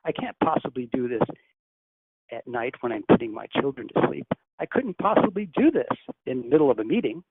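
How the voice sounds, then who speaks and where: A man speaking as a channeled spirit teacher, guiding a breathing meditation in a slow, deliberate, instructive way. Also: medium pitch, big pitch swings, creaky. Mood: teaching. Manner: slow, deliberate, instructive, softly hushed in exercises. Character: ascended master spirit, calm, commanding teacher. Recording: tinny phone line, faint hiss.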